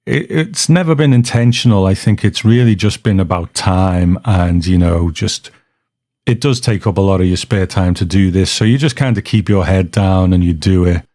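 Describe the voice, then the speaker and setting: A man's voice: sits low, normal speed, dark tone, no room sound, clean studio sound. A man hosting a podcast, casually introducing a guest in conversational talk. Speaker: podcast host.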